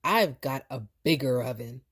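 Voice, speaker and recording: A woman's voice: rumbling low, lively intonation, moderate pace, slightly rough, full character voice. A woman voicing a friendly, teasing female police officer in a children's storybook, with emphatic delivery. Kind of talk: read-aloud children's storybook dialogue.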